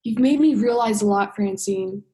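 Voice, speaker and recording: A woman's voice: medium pitch, moderate pace, dark tone, close to an everyday voice. A young woman acting an earnest, principled diver in a student radio play. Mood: friendly, apologetic. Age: young adult.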